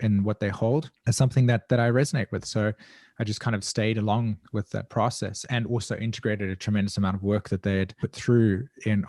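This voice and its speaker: A man's voice: on the low side, a little mellow, no room sound, clean studio sound. A man with a South African accent, speaking as an interview guest in a reflective, earnest, introspective way, often searching for his words. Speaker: guest, evolutionary astrologer.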